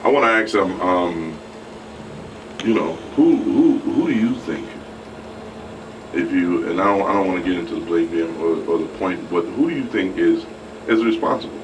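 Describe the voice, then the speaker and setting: A man's voice: low voice, lively intonation, normal speed, muffled recording. A man co-hosting a live radio talk show in a casual style. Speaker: radio co-host and DJ. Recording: distant mic with clear background noise.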